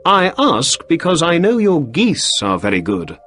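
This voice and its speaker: A man's voice: a little high, wildly swooping pitch, a little slow, a bit bright, soft background music, voice pushed up, full character voice. A man voicing a keen, polite male detective who asks probing questions.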